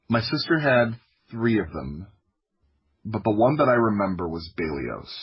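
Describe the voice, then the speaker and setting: Husky-voiced man telling stories casually. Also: lowish voice, dull audio. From United States (Florida). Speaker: podcast guest, audio drama creator. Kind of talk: casual personal storytelling.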